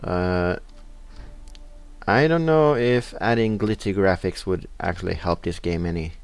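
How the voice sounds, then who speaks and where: A man's voice: low-pitched, unhurried, dry recording. A young man with a Norwegian accent casually commenting on a computer game, hesitant and full of fillers as he reads the screen. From Norway. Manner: hesitant, many uh fillers, reading in-game text aloud. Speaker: let's play host.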